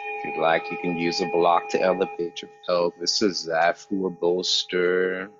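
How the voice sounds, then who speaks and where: A man guiding a yoga meditation as a teacher, speaking calmly and in measured short phrases with pauses. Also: low-pitched, over loud music. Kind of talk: guided yoga meditation instruction. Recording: dull, muffled lo-fi sound.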